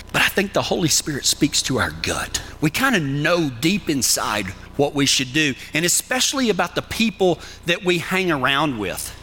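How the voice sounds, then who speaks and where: A man's voice: on the high side, bright, forceful tone. A man with a Texan accent preaching a folksy sermon as a cowboy preacher, talking to listeners plainly and with humor. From Texas. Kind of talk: sermon with numbered tips.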